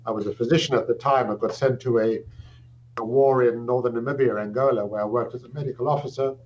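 A middle-aged man with a South African accent, a psychiatrist answering an interview question with measured, thoughtful speech and frequent pauses and restarts. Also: medium pitch, fairly even intonation, darkish tone. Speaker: guest, psychiatrist. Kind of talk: interview answer, recounting background. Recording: dull lo-fi audio with faint hum.

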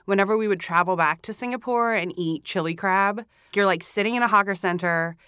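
Woman, young adult, from Hong Kong, relaxed chatty guest, studio, dry close sound. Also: medium pitch, brisk, clean tone, dry recording. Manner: relaxed, chatty, many "like" fillers. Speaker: podcast guest. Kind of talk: casual interview chat. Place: recording studio.

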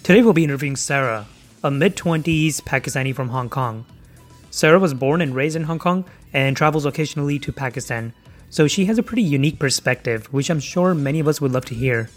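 A man's voice: fairly high pitch, fairly quick. Man reading a podcast intro over music. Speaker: podcast host. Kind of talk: scripted intro narration.

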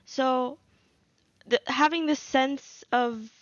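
A woman's voice: high-pitched, fairly even intonation, slow, no echo. A young woman from Egypt talking earnestly in a casual podcast chat, in long run-on thoughts. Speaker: guest. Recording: dull lo-fi dry sound.

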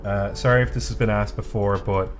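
Irish man from Cork, lowish voice. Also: fairly even intonation, a little fast. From Ireland. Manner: dry, teasing, swears casually. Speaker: podcast co-host. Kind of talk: casual chat.

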